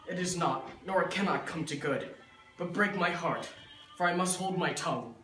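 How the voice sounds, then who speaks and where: A man playing a grieving, bitter young prince, declaiming a Shakespearean soliloquy with drawn-out emphasis. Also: high voice, sharp tone. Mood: anguished. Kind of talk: dramatic Shakespearean recitation. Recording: echoey room with music behind.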